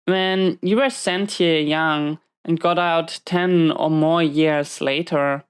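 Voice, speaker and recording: Woman playing a slow, low-voiced, weary villain inmate. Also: clean tone. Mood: reflective, matter-of-fact. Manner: confiding, halting, reflective. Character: battle-scarred female prison inmate villain, wounded, weary, intimate. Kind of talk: slow intimate audio roleplay monologue to a listener.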